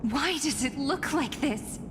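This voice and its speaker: An actress playing a sorrowful, gentle young heroine in a game, speaking in a wistful, deliberate way. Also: female voice, high voice, lively intonation, noisy background. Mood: anguished. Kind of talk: dramatic game voice acting.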